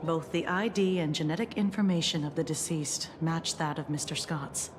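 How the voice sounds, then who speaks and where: A composed female investigator from a video game , acted by a woman, with a fairly low voice. She speaks formally and with measured authority, as if delivering an official briefing. Music plays clearly behind her. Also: natural voice. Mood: official, grave. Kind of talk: scripted video game dialogue.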